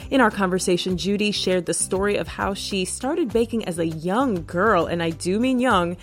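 A woman hosting a podcast, reading a scripted introduction in a measured way. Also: medium pitch. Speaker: podcast host. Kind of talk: scripted narration. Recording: faint music underneath.

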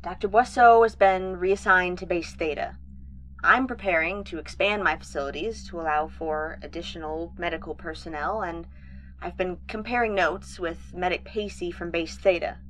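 A woman's voice: fairly low pitch, moderate pace, fairly bright tone, slight background noise, lightly acted, poor audio. A woman playing a testy, insistent moon base officer in an audio drama. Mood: formal, slightly nettled.

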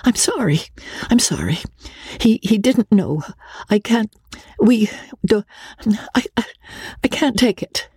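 A timid young wife, as a male narrator puts her on, stammering and halting in a raised, breathy voice. Her pitch leaps about a great deal, and the sound is dry and close. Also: huge pitch swings, warm tone, no room sound, heavily acted. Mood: flustered.

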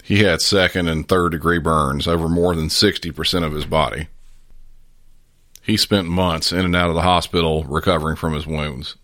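A man hosting a podcast, narrating true-crime backstory in a measured, matter-of-fact way. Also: extremely low pitch, fairly even intonation, a bit bright, no echo, studio quality. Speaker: podcast host.

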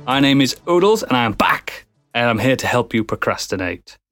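Man, podcast host, animated voice, mid pitch, jokey. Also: brisk, soft background music. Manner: lively, jokey, laughs often. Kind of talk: casual chat.

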